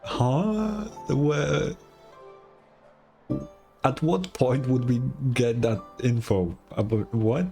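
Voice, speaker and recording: A man's voice: mid-range voice, very slow, creaky, dark tone. Guy streamer chatting over game music, offhand n blunt, swears a bit. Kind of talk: casual reaction commentary.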